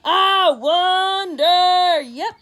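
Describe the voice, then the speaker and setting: Picture-book penguin, male, female reader, oddball and hearty, big blaring high delivery with stretched-out words. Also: fairly bright tone, full character voice. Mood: loud, exuberant. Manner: blaring, exuberant, drawn-out exclamations. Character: odd, loud, hearty, carefree male penguin. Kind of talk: children's picture book read-aloud.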